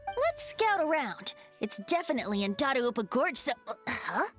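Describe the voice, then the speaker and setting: A woman's voice: sits high, big pitch swings, moderate pace, fairly bright tone, soft background music. A woman voicing a youthful male bard who is a wind spirit in a game, playful and wise, with a lilting, storytelling way of talking. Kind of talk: game voice acting.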